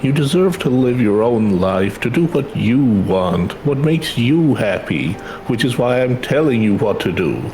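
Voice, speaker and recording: A man's voice: mid-range voice, big pitch swings, measured pace, hardly any pauses, darkish tone, slight background noise, low-quality recording. A man voicing a caring, worried and insistent mother in video game dialogue. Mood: worried, pleading.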